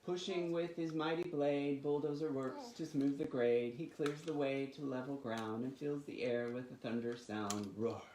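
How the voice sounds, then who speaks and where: A man hosting storytime for young children, with an expressive, sing-song delivery. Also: a little high. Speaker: children's library storytime host. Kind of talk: reading picture books and leading finger rhymes. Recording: roomy echo with faint background noise.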